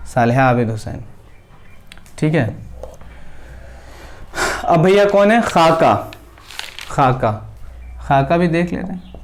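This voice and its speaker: A male teacher giving a classroom lecture at a board, dictating names slowly word by word and answering his own questions. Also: on the high side, expressive, a little hiss or hum. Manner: asks the class questions and answers them himself.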